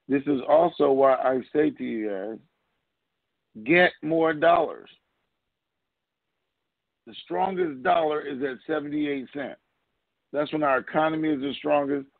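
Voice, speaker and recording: A man's voice: medium pitch, creaky, no room sound. A man co-hosting a call show, giving informal opinions emphatically with repeated phrases and rhetorical questions over a phone conference line. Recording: muffled lo-fi conference call audio.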